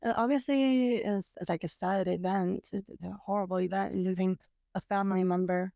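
A woman talking casually with her brother as a podcast guest, reflective and hesitant, with frequent pauses and restarts. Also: medium pitch, fairly even intonation, creaky, mellow, dry recording, clean studio sound. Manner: hesitant, reflective, many fillers and restarts, occasional laughs. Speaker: guest, host's sister. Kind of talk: casual conversation. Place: parents' house.

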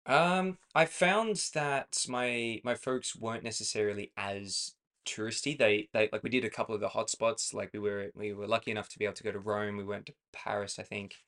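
A man's voice: lowish voice, fairly even intonation, a little fast, fairly bright tone. A young Australian man co-hosting a podcast, talking in a rambling, self-correcting way and laughing easily. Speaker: podcast co-host. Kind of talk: casual chat.

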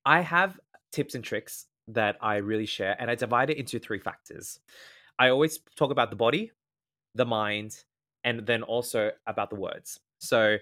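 A man's voice: mid-range voice, a bit bright. An Australian man with a slightly nasal voice, a guest in a casual interview, earnestly explaining advice point by point. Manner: earnest, explanatory, lays out tips in ordered points. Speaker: guest speaker, former event MC.

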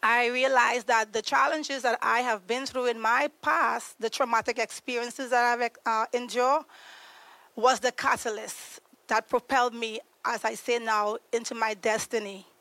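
A woman from Trinidad and Tobago, a podcast guest explaining trauma in an earnest, conversational way, pausing to search for words. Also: fairly high pitch, steady tone, sharp tone, no room sound, poor audio. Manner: earnest, searching for words, frequent ums and restarts.

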